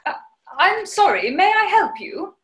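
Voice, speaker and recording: Woman acting a high, plucky housemaid. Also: big pitch swings, measured pace, a bit bright, reverberant, full character voice. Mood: polite, flustered. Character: plucky, proper British housemaid and radio narrator. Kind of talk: 1940s comedy radio play acting.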